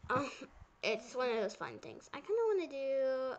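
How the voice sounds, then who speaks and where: A female gamer with a high voice chats casually as she plays along in a roleplay. The recording has clear background noise and some clicking. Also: wildly swooping pitch, moderate pace. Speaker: gamer playing a sister character in a roleplay video. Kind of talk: casual gameplay roleplay chat.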